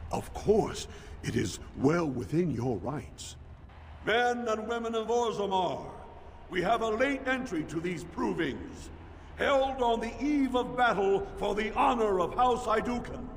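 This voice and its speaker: An actor playing a formal, deferential dwarf arena master in a fantasy video game, with a declamatory delivery. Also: male voice, high-pitched, lively intonation, measured pace. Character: formal, ceremonious male dwarf arena master announcing fights. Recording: long hall reverb, some background noise.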